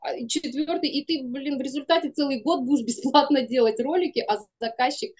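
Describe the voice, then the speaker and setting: A woman around thirty to forty talks as a guest in a casual interview. Her voice sits a little high. She speaks informally and expressively, acting out bits of dialogue as she explains. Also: fairly quick, darkish tone. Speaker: guest, animation studio producer. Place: room indoors, video call.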